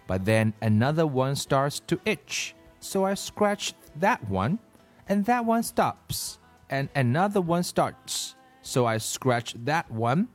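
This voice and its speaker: A man's voice: fairly high pitch, pitched above the performer's own voice. A man voicing a miserable young schoolgirl who whines and complains about itching, in a children's storybook.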